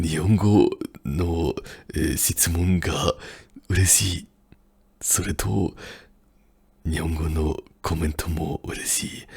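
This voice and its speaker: Very deep, gravelly soft-spoken German man. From German-speaking country. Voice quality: soft, often whispered. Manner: hesitant, many fillers, searching for words. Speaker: ASMR channel host.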